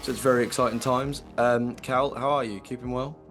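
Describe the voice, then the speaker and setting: A man in his late twenties with an English accent and a slightly croaky voice, co-hosting a casual football podcast and chatting with lots of fillers. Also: mid-range voice, steady tone, brisk, fairly bright tone, faint music behind. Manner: chatty, many fillers and restarts. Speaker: podcast co-host.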